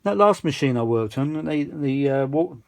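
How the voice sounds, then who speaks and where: A man's voice: fairly high pitch, lively intonation, normal speed. A man talking casually and off the cuff as an enthusiastic hobbyist presenter, rambling informally while demonstrating a machine. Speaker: vintage audio hobbyist presenter. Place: indoors at a cluttered workbench.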